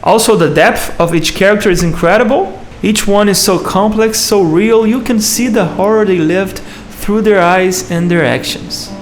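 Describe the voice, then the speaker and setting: High-pitched man narrating a video essay. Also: normal speed, hardly any pauses. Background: music.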